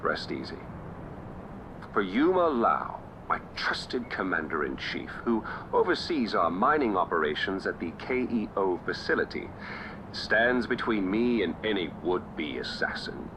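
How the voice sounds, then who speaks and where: An actor playing a flamboyant, sardonic, self-assured tyrant king in a video game, with mock-polite phrasing and deliberate pauses. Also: on the low side, big pitch swings, noisy background. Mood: defiant, boastful. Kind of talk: video game cutscene acting.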